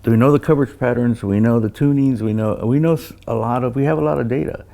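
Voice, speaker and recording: An older man who designs loudspeakers, talking casually about technical matters and often repeating words as he recounts things. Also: medium pitch, gravelly. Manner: halting, repeats words, anecdotal.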